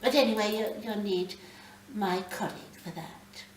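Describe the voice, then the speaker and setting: A woman's voice: medium pitch, fairly even intonation, moderate pace, close to an everyday voice. A woman voicing a reasonable, slightly impatient female ghost guide from a short story, in a lecturing manner. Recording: roomy, distant mic, faint background noise.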